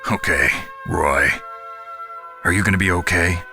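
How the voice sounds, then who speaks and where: An actor playing a stoic, world-weary covert soldier in a video game, with terse, dry and solemn delivery. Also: male voice, sits low, measured pace, vocal fry. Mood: dry, guarded. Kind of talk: dramatic video game acting. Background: music.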